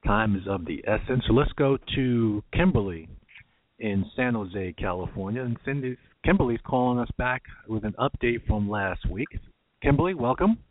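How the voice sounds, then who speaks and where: A man hosting a call-in radio show, brisk and keeping callers to the clock. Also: medium pitch, lively intonation, no room sound, narrow, tinny audio.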